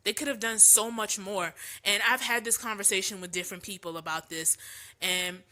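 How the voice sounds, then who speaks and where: A woman's voice: mid-range voice, steady tone, moderate pace, bright, forceful tone. A woman hosting a podcast from home, talking casually and opinionatedly with slang and many ums, her voice a little congested from allergies. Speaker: podcast host.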